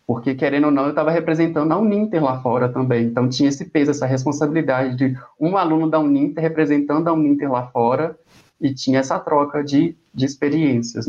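A young Brazilian man speaking in a relaxed, rambling way as a guest in an interview, often restarting his phrases. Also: a little high, highs cut off. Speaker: exchange student guest. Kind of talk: conversational interview.

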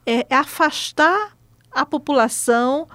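A woman's voice: sits high, animated, fairly bright tone, dry recording, clean studio sound. A woman from Recife with a Pernambuco accent, a course coordinator explaining her field in a lecturing way, often adding "né?". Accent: Pernambuco Portuguese. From Recife, Brazil. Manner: measured, lecturing, frequent "né?" tags. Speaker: guest, university course coordinator. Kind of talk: explanatory interview answers.

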